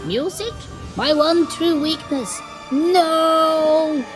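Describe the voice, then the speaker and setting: Wicked witch played by a man: high and shrill, very slow dramatic wails, storybook villainess over loud music. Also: heavily acted, low-quality recording. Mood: dismayed, wailing. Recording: music behind.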